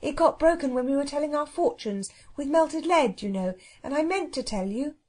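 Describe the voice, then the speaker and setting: Woman as an earnest young girl, high voice. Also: expressive, moderate pace, husky. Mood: apologetic. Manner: gentle, earnest, apologetic. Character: earnest, kind, truthful young girl, eldest sister. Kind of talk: children's storybook reading.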